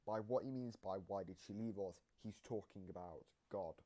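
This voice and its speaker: A man hosting a reaction video, casually explaining game lore in a halting way that often trails off. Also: lowish voice, dark tone.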